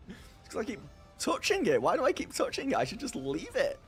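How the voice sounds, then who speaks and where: A man's voice: extremely high pitch, huge pitch swings, normal speed, soft background music. A man casually chatting on his live stream and answering viewers, rambling and self-deprecating, laughing often. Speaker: streamer hosting a live chat.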